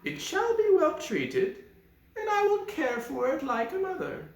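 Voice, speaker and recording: A man voicing a menacing, imperious old enchantress from a storybook in a theatrical, commanding, drawn-out manner. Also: very high-pitched, wildly swooping pitch, gravelly, echoey room, pitched above the performer's own voice, exaggerated voice. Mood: cold bargaining.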